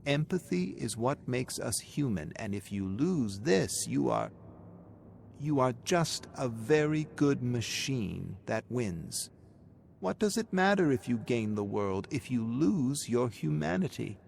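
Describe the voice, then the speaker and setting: Man voicing an earnest old grandmother, pitched up. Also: very animated, slow pace, gravelly, darkish tone, slight background noise, full character voice. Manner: earnest, admonishing. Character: kindly but firm elderly grandmother giving earnest advice.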